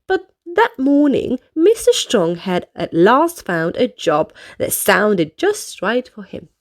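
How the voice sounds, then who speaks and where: A woman's voice: medium pitch, very animated, slow pace. A woman reading storybooks aloud to children expressively, addressing young listeners with questions and exclamations.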